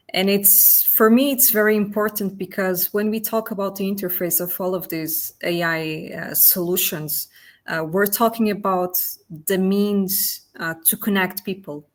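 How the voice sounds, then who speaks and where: A woman from Portugal, an engineer speaking on a panel, earnest and pausing often to find her words. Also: medium pitch. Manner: earnest, searching for words with frequent restarts. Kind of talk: panel discussion.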